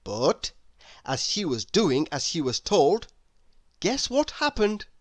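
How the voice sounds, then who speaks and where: A woman reads a children's story aloud with very animated intonation. She takes her time, and the recording is dry and close. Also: on the low side, slow, no room sound. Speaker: storybook reader.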